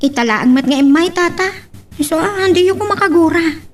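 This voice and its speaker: A woman's voice: very high-pitched, fairly quick. A woman playing a timid, flustered young house worker in an audio drama, stammering politely with an Ilocano accent. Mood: deferential, anxious.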